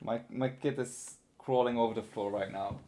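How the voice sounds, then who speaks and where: A man's voice: medium pitch, steady tone, normal speed, mellow, a little hiss or hum. Tired-voiced man muttering while coding. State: voice worn and tiring. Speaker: livestreaming programmer. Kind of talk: casual live coding stream.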